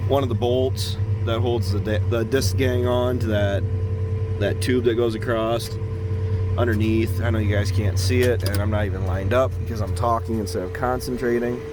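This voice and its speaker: A man with a slightly hoarse voice, a farmer chatting casually and ramblingly to viewers from inside a tractor cab. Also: lowish voice. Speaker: farmer and vlogger. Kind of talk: casual chat to viewers while working. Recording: steady loud machinery noise in vehicle. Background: tractor engine and cab hum.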